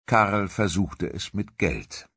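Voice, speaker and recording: Man, low pitch, dry close sound, scripted history narration, measured storytelling. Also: fairly bright tone, breathy edge, no room sound. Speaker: documentary narrator.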